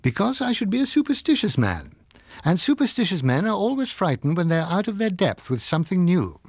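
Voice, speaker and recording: A learned male scientist voiced by a man narrating a novel, pompous and deliberate. His pitch rises and falls in lively sweeps as he lectures with dry irony. The sound is close and dry, as in an audiobook. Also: breathy edge, dry recording, exaggerated voice. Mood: wry, grave. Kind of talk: dramatised audiobook reading.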